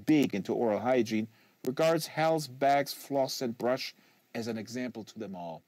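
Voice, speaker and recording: A man's voice: medium pitch, moderate pace, a little mellow, dry recording, rough recording. A man reading literary fiction aloud as scripted narration, close to a studio microphone. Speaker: audiobook reader and host. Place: small acoustically treated home studio.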